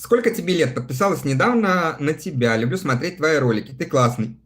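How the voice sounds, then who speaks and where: A man's voice: fairly high pitch, expressive, brisk, a bit bright. A man in his thirties chatting casually on a livestream, reading viewer comments aloud and answering them between laughs. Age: 30s. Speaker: live streamer reading viewer chat.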